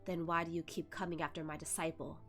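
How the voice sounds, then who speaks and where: Lady doing a cold calm shizun guy, low and quiet, soft music under. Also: fast talker, lightly acted. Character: calm, cold male master cultivator.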